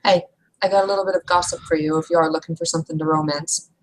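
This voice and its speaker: A woman's voice: low voice, steady tone, racing, poor audio. A woman playing a blunt, curious, gossipy fantasy woman in a tabletop game, talking in a breezy, teasing way. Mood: friendly, gossipy.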